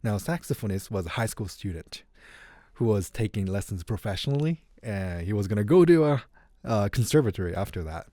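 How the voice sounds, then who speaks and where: A man with a Japanese accent, whose first language is Japanese, telling his story as a guest in a casual interview in a relaxed, unhurried way. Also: on the low side, dry recording. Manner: relaxed, unhurried, light grammar slips. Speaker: guest musician.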